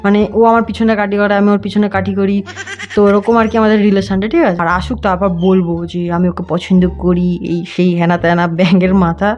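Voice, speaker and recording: A man's voice: sits high, little pitch movement, quick pace, darkish tone, lo-fi sound. A young man, chatting casually on a prank call in a hesitant, teasing way. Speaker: prank caller and video host.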